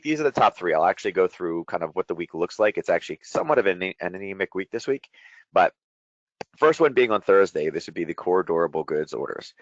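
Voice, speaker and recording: A middle-aged man presents an informal trading webinar in a low, somewhat strained voice. He moves quickly through his explanations in a chatty way. The sound is dry and close, with a dull lo-fi quality. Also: dry recording. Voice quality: voice deeper than usual from shouting. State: strained voice after a day of loud coaching. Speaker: trading educator hosting a market breakdown.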